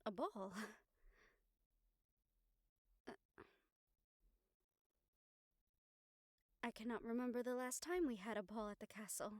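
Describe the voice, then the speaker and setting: A woman playing an affectionate, scheming young noblewoman in an intimate audio roleplay, speaking formally and measuredly with thoughtful pauses. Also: on the high side, lively intonation, no room sound, studio quality, close to an everyday voice. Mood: wistful, pensive. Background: background music.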